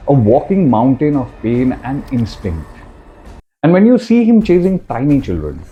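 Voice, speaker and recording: A man with an Indian accent narrating a scripted video essay in a dramatic, emphatic way, with deliberate short phrases and switches between two languages. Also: lowish voice, dark tone, muffled recording.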